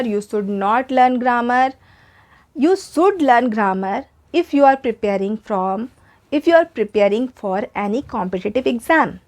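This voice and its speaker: A young woman with an Indian English accent whose first language is Hindi. She gives an earnest, encouraging spoken English lesson and repeats her points for emphasis. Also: a little high. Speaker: English teacher.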